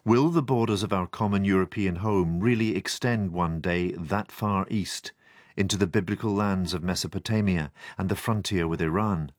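A man reporting the news, reading measured, formal narration and putting questions to interviewees. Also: deep voice, no room sound, studio quality.

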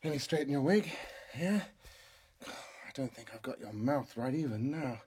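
A man playing a fussy, quick-tempered inventor who fiddles with his robot and barks orders at it. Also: mid-range voice, expressive, a little mellow, slightly rough.

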